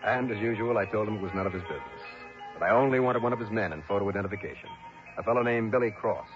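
An actor as a stubborn, world-weary male investigator from an old radio detective show. His voice sits fairly low, with a wry, clipped delivery. The sound is muffled and vintage. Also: racing, over music, natural voice. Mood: wry, narrating. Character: dogged, wry male insurance investigator narrating his case. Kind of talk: 1950s-60s radio detective drama acting.

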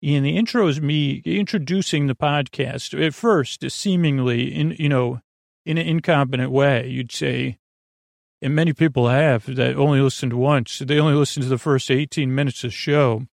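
Male sleep-podcast host: gravelly fry, medium pitch, halting, meandering delivery full of repeats and unfinished thoughts, dry recording. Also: dry recording. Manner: halting, tangential, repeats and trails off mid-thought.

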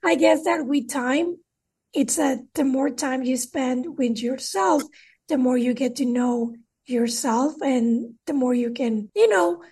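High, breathy woman hosting an interview. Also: slow pace, a little mellow, distant mic. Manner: chatty, full of "like" and "right?". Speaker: podcast host. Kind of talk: casual interview talk.

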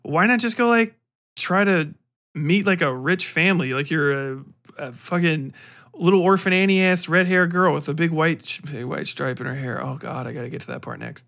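A man with a fairly high voice talks like a podcast host in the middle of a casual rant. He is sarcastic and swears freely, retelling things in an animated way. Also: moderate pace, gravelly. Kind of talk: casual ranting review.

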